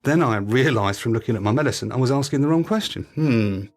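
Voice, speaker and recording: A man's voice: medium pitch, expressive, fast, faint music behind. A British man hosting a podcast, delivering a scripted monologue with practical tips. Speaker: podcast host.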